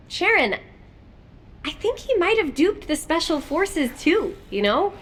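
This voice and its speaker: An actress playing a young, naive and cheeky female soldier in video game dialogue, talking in an expressive, informal, girlish way. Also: high-pitched, a little hiss or hum, exaggerated voice. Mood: exasperated.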